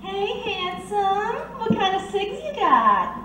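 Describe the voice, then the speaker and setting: High, sassy streetwalker dame, acted by a woman. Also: very animated, slow pace, full character voice. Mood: flirtatious, teasing. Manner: flirtatious, sassy, teasing. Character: flirty, brassy streetwalker woman with sly confidence. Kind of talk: old-time radio drama acting. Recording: distant echoey room with clear background noise.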